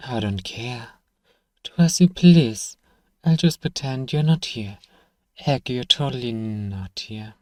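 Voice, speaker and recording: Male actor as sardonic fantasy shopkeeper, exasperated and stern, low-lit soft timbre, very dry close sound, for ASMR roleplay. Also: dry recording, close to an everyday voice. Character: irritable, sarcastic, exasperated fantasy apothecary shopkeeper, grudgingly caring.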